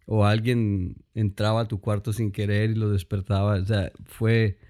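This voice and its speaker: Colombian man in his thirties with a Mexican-tinged accent, low mellow voice, loose rambling interview storyteller. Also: normal speed. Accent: Mexican-influenced Spanish. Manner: relaxed, rambling, frequent fillers and restarts. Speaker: guest, music producer.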